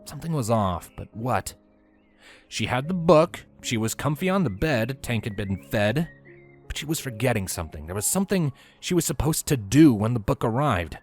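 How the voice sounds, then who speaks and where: Male narrator, medium pitch, scripted story reading, animated delivery, theatrical emphasis, soft background music. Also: normal speed. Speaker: audiobook-style narrator of fan fiction.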